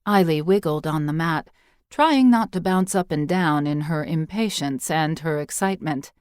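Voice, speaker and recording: Female audiobook narrator for fantasy fiction, slightly low register, measured and expressive, dry studio-like sound. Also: smooth, dry recording.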